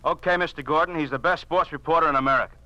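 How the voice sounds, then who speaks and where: Forceful, angry sports editor. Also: male voice, fairly high pitch, very fast, dry recording. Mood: angry, insistent. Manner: forceful, insistent, hammering repetition. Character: angry, stubborn newspaper sports editor, a man. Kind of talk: 1950s radio drama acting. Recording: muffled old radio recording.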